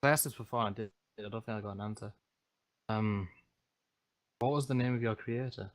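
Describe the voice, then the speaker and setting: A man's voice: on the low side, a little fast, dry recording. A man playing a tabletop game over a voice call, switching between casual chat and questions in character, swearing and laughing. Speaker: player.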